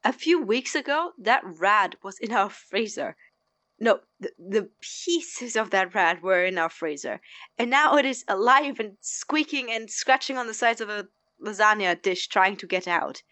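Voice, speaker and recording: A woman's voice: medium pitch, expressive, a little slow, bright, forceful tone, dry recording. A woman playing a sarcastic, exasperated young male pre-med student in an audio drama, with a biting, incredulous, dramatic delivery. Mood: awed, intense.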